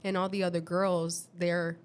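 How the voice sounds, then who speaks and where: A woman's voice: on the low side, little pitch movement, moderate pace, clear, resonant tone. A young woman co-hosting a podcast in casual chat, talking freely and tagging her sentences with "you know" and "right?". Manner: chatty, many "you know" and "right?" tags. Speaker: podcast co-host.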